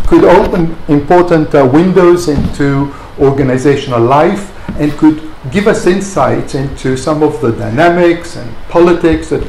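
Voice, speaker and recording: Creaky-voiced older man lecturing in echoey room. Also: on the high side, a little mellow. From Greece. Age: 60s. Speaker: guest lecturer, professor. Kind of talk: academic public lecture. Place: wood-panelled seminar room, indoors. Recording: echoey room, audible background noise.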